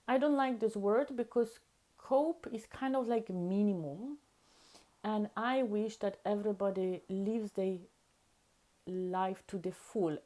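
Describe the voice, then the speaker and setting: A woman whose first language is Polish, with the accent to match, reflects to camera in an unhurried, deliberate way. Her voice sits in a mid range with a warm, mellow color. She stresses key ideas by repeating them. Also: medium pitch. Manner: slow, earnest, emphatic with repeated words. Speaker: vlogger.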